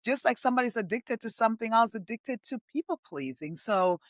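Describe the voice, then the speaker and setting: A woman's voice: on the high side, lively intonation, a little fast, no room sound. A middle-aged woman from Tanzania and Zambia, a podcast guest who talks earnestly and from the heart. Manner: earnest, heartfelt, repeats herself for emphasis. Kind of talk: reflective interview talk.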